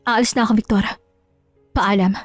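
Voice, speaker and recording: A woman's voice: medium pitch, fairly even intonation, moderate pace, dry recording, faint music behind, close to an everyday voice. A woman voicing a hurt, proud betrayed wife in an audio drama, scolding with scornful questions. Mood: indignant, scornful. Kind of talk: Tagalog audio drama acting.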